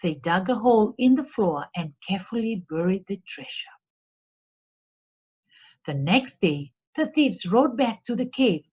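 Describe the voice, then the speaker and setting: A woman reads a children's story aloud at an unhurried pace, with lively rises and falls in her voice. Her tone has a soft husk to it, and the recording is a little dull. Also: mid-range voice, breathy edge. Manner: deliberate, expressive read-aloud for children. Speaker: storyteller for a read-along. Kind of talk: scripted storybook narration.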